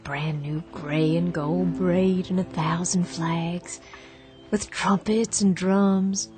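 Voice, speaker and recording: A woman's voice: fairly low pitch, steady tone, slow pace. A woman voicing a grieving woman weakened by fever, wistful and fragile, often sinking into whispers. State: feverish and weak. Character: grieving Southern woman waiting for her husband. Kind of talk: radio drama acting. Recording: radio drama with music behind.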